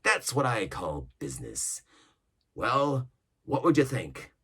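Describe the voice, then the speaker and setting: Brief: a man playing a cunning older cook, gravelly growl, lively swings in pitch. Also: slow, exaggerated voice. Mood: scheming. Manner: growling, theatrical, shifting between bluster and whispers. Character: scheming one-legged pirate cook, older man, cunning.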